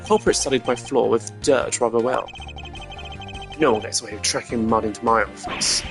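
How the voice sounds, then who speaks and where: A man's voice: medium pitch, normal speed, vocal fry, music in the background, natural voice. A man voicing a composed, haughty prosecutor with a formal, precise and pompous way of speaking, in a video game. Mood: cool, resolute. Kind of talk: video game character voice-over.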